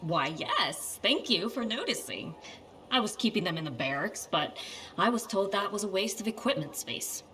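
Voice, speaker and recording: A woman's voice: medium pitch, lively intonation, normal speed, a bit bright, natural voice. A woman playing an earnest, socially awkward soldier in a video game, chatty in manner. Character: awkward, earnest female soldier who loves plants. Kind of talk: video game dialogue acting.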